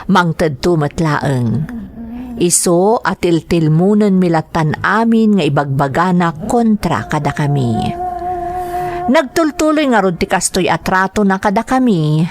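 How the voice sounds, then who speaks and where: Low-voiced, burdened Ilocano student. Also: female voice, expressive, slow pace, crowd noise. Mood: sad, confiding. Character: humble, burdened young female student from a poor family. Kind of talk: radio drama acting.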